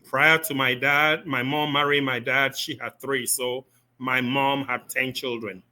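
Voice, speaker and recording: A man from Ghana, a pastor, answering interview questions with steady, detailed storytelling about his upbringing. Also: mid-range voice, measured pace. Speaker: pastor, guest.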